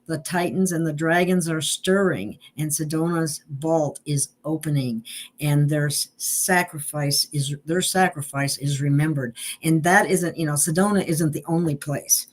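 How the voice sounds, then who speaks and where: A woman in casual conversation about spiritual sites, talking earnestly and haltingly with many restarts. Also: sits low, steady tone.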